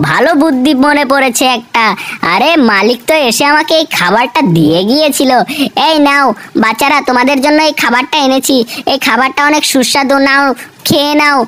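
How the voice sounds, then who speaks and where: Woman voicing cartoon house cat, high voice, exasperated. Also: a bit bright. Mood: scheming. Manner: exasperated, fussing.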